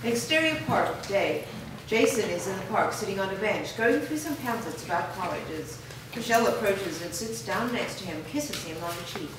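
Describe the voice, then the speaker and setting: Woman narrating script directions, mid pitch, far from mic in a noisy room. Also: lively intonation, moderate pace. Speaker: stage directions reader. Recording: echoey room, distant mic, clear noise.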